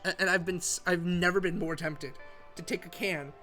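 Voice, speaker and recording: Give me a young man gamer with excitable, stammering commentary and faint music behind. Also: sits high, normal speed. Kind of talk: game playthrough commentary.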